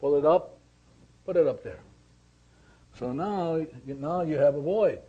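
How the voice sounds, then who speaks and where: A man teaching a spiritual seminar in a deliberate, repetitive way, asking and answering his own questions. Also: fairly high pitch, mellow. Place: seminar room with attendees. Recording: slightly dull lo-fi recording.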